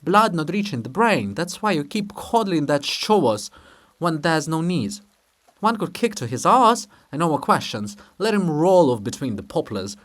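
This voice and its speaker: Animated monument of a statesman for a drama-farce, read by a man: teasing, blunt, jaunty, grandly reassuring. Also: on the high side, normal speed. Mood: needling. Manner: teasing, theatrical, swings from blunt to grandly consoling. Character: brisk, needling, jaunty male monument of a statesman.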